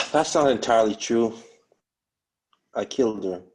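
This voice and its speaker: A man playing a bitter, guilt-ridden widowed police officer with a husky voice, in a dramatic script read-through. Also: mid-range voice, measured pace, poor audio. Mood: guilty, haunted.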